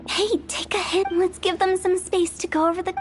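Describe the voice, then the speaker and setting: A woman's voice: extremely high pitch, flat delivery, fairly quick, a little hiss or hum. A woman voicing a playful, eerie young funeral parlor director with theatrical, teasing delivery in an anime game. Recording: music over the voice.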